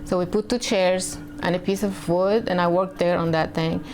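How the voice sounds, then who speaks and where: A woman's voice: lowish voice, fairly even intonation, moderate pace, darkish tone, low-quality recording. A woman from Lebanon with a Lebanese accent, a potter telling her personal story in an interview. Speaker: artist, potter. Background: faint music.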